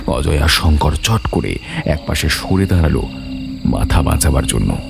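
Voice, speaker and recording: A man narrating a suspense story as scripted drama, with solemn, atmospheric, deliberate phrasing. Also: very deep voice, big pitch swings, gravelly, over loud music.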